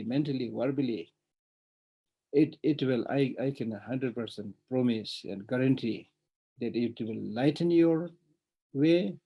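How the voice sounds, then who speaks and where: Male Buddhist teacher, husky mellow voice, earnest teaching talk. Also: medium pitch. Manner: earnest, repeats phrases, frequent "you know". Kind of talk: dharma teaching. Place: online teaching.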